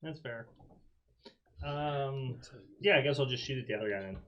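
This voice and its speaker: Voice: mid-range voice, moderate pace, highs cut off. A tabletop game player bantering casually with friends. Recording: noisy room with dice clicks.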